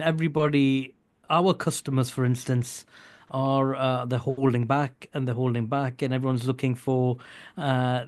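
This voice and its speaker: A man's voice: mid-range voice, darkish tone. A man speaking as a guest in a radio studio, hesitant and earnest, repeating himself as he talks. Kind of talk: casual radio chat.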